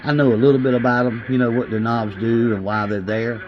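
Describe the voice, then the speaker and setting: A man's voice: mid-range voice, little pitch movement, brisk, a crowd in the background. A man with a Southern US accent chatting casually to viewers in a folksy, hesitant way, often repeating himself. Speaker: CB radio hobbyist and video host. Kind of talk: casual chat to camera. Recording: muffled, with clear background radio noise.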